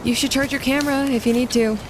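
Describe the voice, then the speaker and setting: An actress playing a level-headed, capable woman photographer in a naturalistic audio drama, measured and matter-of-fact. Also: high-pitched, fairly even intonation, background noise, close to an everyday voice.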